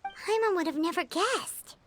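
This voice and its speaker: A woman voicing a small, excitable childlike fairy companion in a video game, with a squeaky, cute, emphatic delivery. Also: measured pace, exaggerated voice. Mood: curious. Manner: cute, emphatic, refers to herself in third person. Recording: music behind the voice.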